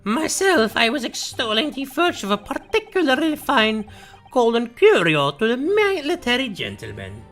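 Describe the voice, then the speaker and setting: A woman voicing a florid, pompous old male antique dealer from a video game, with ornate, drawn-out phrasing. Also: a little high, wildly swooping pitch, fairly bright tone, faint music behind, exaggerated voice.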